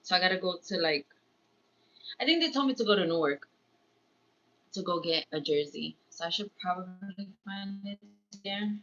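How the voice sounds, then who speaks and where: Bright, chatty New woman. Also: on the low side, animated, moderate pace, long pauses, faint music behind. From born in Ecuador, raised in New York. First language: Spanish. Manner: chatty, rambling, slips into Spanish phrases. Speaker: livestream host, crafts vlogger. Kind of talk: casual livestream chat. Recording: slightly dull, lo-fi stream audio.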